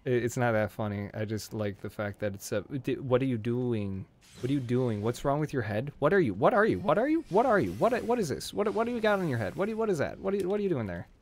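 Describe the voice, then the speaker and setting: A man with a hoarse voice, casually commenting on his gameplay and joking at the enemies on screen. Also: mid-range voice, very animated, fast talker. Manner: jokey, talks to enemies on screen. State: hoarse from screaming. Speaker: game streamer.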